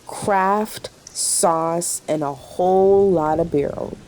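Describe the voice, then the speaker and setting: A woman's voice: fairly low pitch, slow pace, a little mellow. A woman playing a weary, sarcastic diner waitress in an audio drama, with a dry delivery. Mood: dry, formal.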